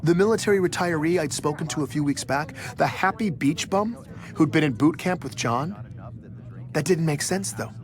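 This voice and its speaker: A man's voice: on the high side, normal speed, natural voice. An actor playing an investigative journalist, narrating his own story in an audio drama with a dry, confiding manner. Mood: intrigued, urgent. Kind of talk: first-person scripted narration for audio drama.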